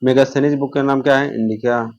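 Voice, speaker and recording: A man's voice: medium pitch, fairly even intonation, brisk, lo-fi sound. Man teaching exam revision. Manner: repeats key terms, questions and answers himself. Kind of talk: informal exam revision lesson.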